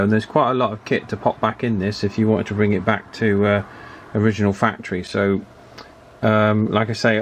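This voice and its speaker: A man giving a casual, chatty hands-on repair commentary in an unhurried way, as if thinking aloud. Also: on the low side, slight background noise. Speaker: radio repair hobbyist presenting his work.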